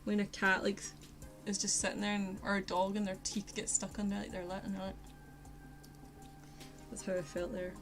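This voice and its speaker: A woman's voice: medium pitch, little pitch movement, normal speed, pauses often. A young woman with a posh Glaswegian Scottish accent, chatting casually with her live-stream viewers while she paints, rambling and poking fun at herself. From Scotland. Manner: chatty, self-mocking, rambling asides to chat. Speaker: art streamer. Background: faint lo-fi music.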